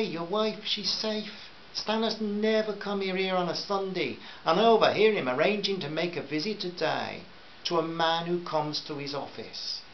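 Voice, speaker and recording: A man voicing a shrewd, friendly Greek cafe owner in a put-on Greek accent, speaking slowly and gently. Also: high voice, lo-fi sound, a little hiss or hum, voice pushed up, exaggerated voice. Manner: slow, gentle, searching.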